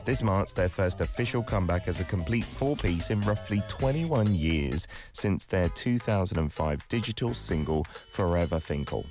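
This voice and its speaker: A man's voice: low voice, lively intonation, normal speed, hardly any pauses, a little mellow, faint music behind. A man whose first language is Korean, hosting a radio show: he reads entertainment news from a script and chats casually between items with an easygoing, chatty manner. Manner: easygoing, chatty asides with fillers. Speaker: radio host. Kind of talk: scripted news reading and casual on-air chat.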